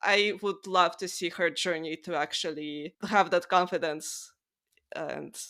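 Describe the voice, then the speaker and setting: A woman's voice: on the low side, fairly even intonation, measured pace, bright, forceful tone. A woman co-hosting a podcast, chatting casually about books in an informal, sweary way full of "like". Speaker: podcast co-host. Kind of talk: casual book discussion chat.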